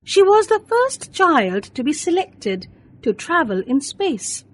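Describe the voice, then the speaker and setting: Slow, high-pitched woman storyteller. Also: sing-song. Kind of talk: scripted children's bedtime story narration.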